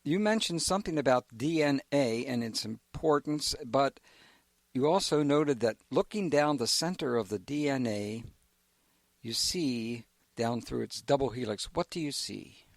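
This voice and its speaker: A man's voice: medium pitch, animated, unhurried, no echo. A man hosting a radio interview show, affable and reassuring in a conversational way.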